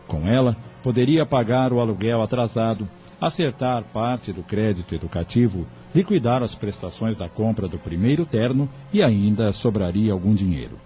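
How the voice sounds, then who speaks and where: A man narrates an audio drama from a script in a measured storyteller's way. His pitch is fairly low. Music sits behind the slightly dull recording. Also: expressive.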